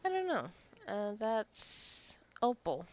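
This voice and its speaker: A woman's voice: a little high, huge pitch swings, slow, darkish tone. A woman giving casual, rambling commentary while playing a game, jokingly scolding her characters and sounding a little tired. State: tired, wants coffee. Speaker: gameplay streamer.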